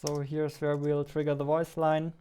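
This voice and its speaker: A man's voice: on the high side, monotone, moderate pace, mellow, slight background noise. A young man, an indie game developer, thinking aloud while he codes and muttering to himself between tasks. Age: young adult. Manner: muttering to himself, half-distracted. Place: small room at a computer desk.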